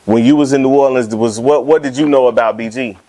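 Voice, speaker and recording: A man's voice: medium pitch, quick pace, mellow, rough recording. A man hosting a casual interview, talking in an easygoing way with frequent restarts. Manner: easygoing, stammering restarts, "you know what I'm saying". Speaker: podcast host.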